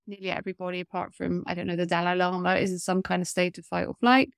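A woman's voice: fairly low pitch, little pitch movement, fast talker, gravelly, clean tone, no room sound, clean studio sound. A woman in her forties, a coach speaking as an interview guest, talking earnestly with a blocked-up nose and many fillers. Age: 40s. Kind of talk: casual interview chat.

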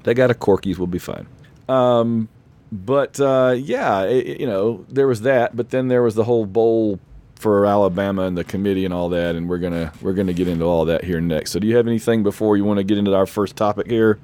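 A man's voice: lowish voice, steady tone, brisk, dark tone. A man hosting a sports podcast, his voice scratchy from losing it, rambling casually. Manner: rambling, self-deprecating. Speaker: podcast host.

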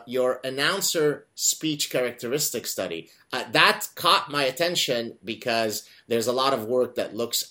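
A man's voice: mid-range voice, sharp tone. A man hosting a podcast interview, chatting casually with hedging asides. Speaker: podcast host.

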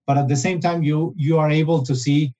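A man's voice: fairly high pitch, fairly even intonation, fairly quick, warm tone, muffled recording. A man, talking as a guest in an interview, often restarting his phrases. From Argentina. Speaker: guest, business development director. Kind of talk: casual interview conversation.

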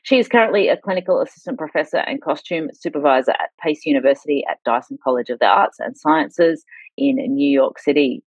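A woman hosting a podcast interview, with a husky voice. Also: low voice, brisk, no room sound, dull audio. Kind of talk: scripted introduction and conversational interviewing.

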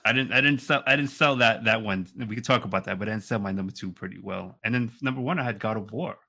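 A man's voice: lowish voice, fast, vocal fry, sharp tone. A man co-hosting a podcast in a casual, bantering conversational style. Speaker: podcast co-host.

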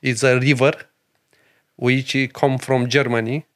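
A man's voice: medium pitch, level tone, fairly bright tone. Earnest Romanian man in broken English. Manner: broken English, earnest and emphatic, many pauses. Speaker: restaurant owner and chef. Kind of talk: podcast interview.

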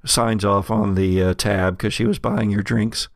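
A man improvising narration as game master of a tabletop game, piecing his sentences together haltingly. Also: fairly low pitch, steady tone, no echo, studio quality.

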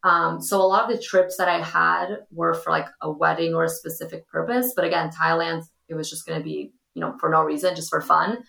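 A woman's voice: low-pitched, fairly quick, creaky, hardly any pauses, bright, forceful tone. A young adult woman from Portugal, a podcast guest talking casually and filling her speech with ums and you-knows. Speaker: guest, influencer. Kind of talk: casual interview talk. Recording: echoey room.

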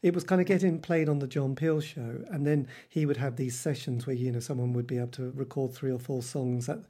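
A man's voice: mid-range voice, steady tone, fairly quick, dark tone. A man from England interviewing a musician, asking long, winding questions.

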